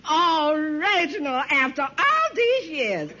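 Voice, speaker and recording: Shrill, swooping sentimental old wife. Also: female voice, sing-song, slow, bright, forceful tone, heavily acted. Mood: tearful wonder. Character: sentimental, dignified old wife reunited with husband. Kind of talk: old-time radio sitcom acting. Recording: muffled vintage radio recording.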